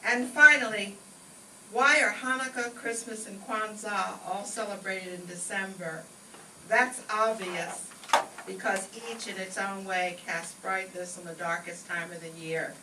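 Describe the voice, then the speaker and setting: A woman serves as master of ceremonies in a live stage show, reading her lines to the audience. The mic sits far off in an echoey room, with clear noise around her. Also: mid-range voice, steady tone, unhurried. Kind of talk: live stage presenting and scripted joke reading. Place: indoor hall with live audience. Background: audience crowd and room noise.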